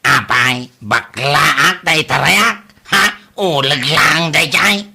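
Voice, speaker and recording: A boastful uncle from a comedy drama, acted by a man, forever exclaiming and repeating himself. His voice is very sharp and husky, and he takes his time over the words. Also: on the high side, slow pace, full character voice. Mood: blustering. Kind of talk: Ilocano comedy drama acting.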